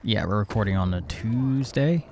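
A man's voice: lowish voice, animated, moderate pace, a little mellow. A young adult man co-hosting a gaming video in casual, conversational chat.

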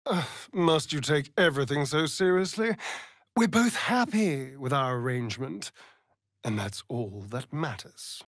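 Flirty sardonic vampire rogue for a fantasy game, slow drawl, pitch swoops around a lot, really dry close sound. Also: male voice, lively intonation, a bit bright, no room sound. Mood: arch, amused. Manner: arch, theatrical, drawling delivery with sly asides. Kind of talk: video game character voice acting.

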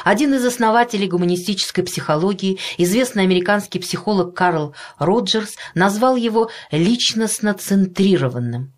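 Woman, audiobook narrator, mid-pitched voice, scripted nonfiction, articulate, measured, instructive delivery.

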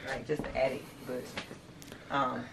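A woman's voice: very deep voice, flat delivery, unhurried, creaky. An American woman, around her thirties, chatting casually inside a house, picked up by a camera held some way off. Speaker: vlogger's partner viewing a house. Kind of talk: casual chat. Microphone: camera held across the room. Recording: echoey rooms, distant mic, noisy.

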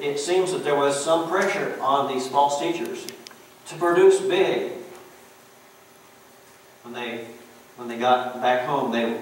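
A middle-aged man preaching a sermon in a church sanctuary, in a folksy, conversational way with frequent "you know"s. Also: mid-range voice. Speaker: pastor. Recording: very echoey church room, distant mic, faint hiss.

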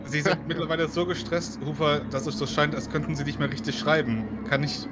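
A man's voice: a little high, fairly even intonation, a little fast, very noisy. A man taking part in a tabletop role-playing game on a group video call, speaking casually and in character. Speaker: tabletop role-play player. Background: music.

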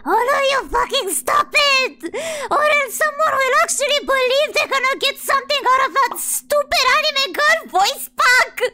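A woman's voice: extremely high pitch, animated, normal speed, few pauses, pushed and bright. A woman livestreamer chatting casually and reading out donations in an exasperated, teasing, sweary way. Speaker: livestreamer, VTuber.

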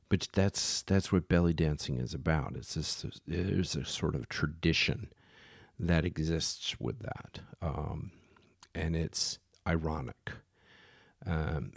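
Man, low gravelly voice, dry close sound, easygoing rambling storyteller with lots of fillers. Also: no room sound. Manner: rambling, many ums and restarts. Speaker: yacht charter captain. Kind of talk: casual storytelling. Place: Los Angeles.

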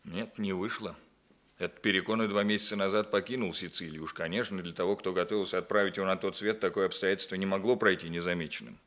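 An actor playing a stern, methodical police captain in a 1960s Soviet radio play, deliberate, authoritative and dryly ironic. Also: male voice, low-pitched, fairly even intonation, a bit bright.

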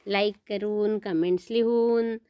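A woman's voice: mid-range voice, steady tone, measured pace, mellow, clean tone, no room sound, studio quality. An older woman telling a story to children in a simple, grandmotherly way, often repeating words.

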